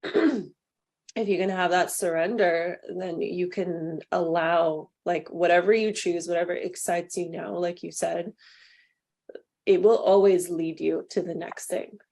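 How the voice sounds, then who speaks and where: A young woman hosting a podcast, talking in a gentle, affirming way and laughing easily. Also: fairly low pitch, steady tone, unhurried. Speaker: podcast host. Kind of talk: casual conversation.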